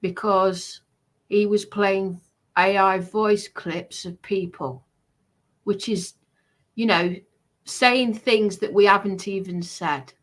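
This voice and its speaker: Slow, deliberate woman chatting. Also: mid-range voice, fairly even intonation. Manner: deliberate, halting, repeats phrases for emphasis. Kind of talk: casual commentary.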